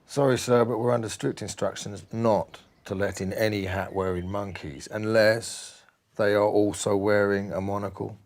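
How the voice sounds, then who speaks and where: Guy doing a stiff polite doorman from a kids story, rule obsessed, bit gravelly. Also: on the low side, steady tone, moderate pace, lightly acted. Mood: officious, apologetic. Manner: formal, apologetic, stiffly firm. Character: officious, polite male doorman, rigid about party rules.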